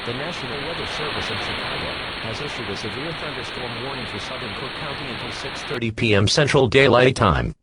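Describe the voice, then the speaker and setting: A man's voice: mid-range voice, brisk, few pauses, heavy background noise, close to an everyday voice. A man as a weather service alert voice, reading a scripted warning in an impersonal, formal way. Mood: impersonal, official.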